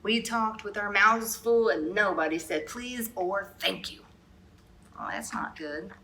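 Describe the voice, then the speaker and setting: A woman's voice: medium pitch, unhurried, pushed and bright, a little hiss or hum. A woman storyteller reading a picture book aloud expressively, slipping in brief asides to young listeners. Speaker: children's storyteller.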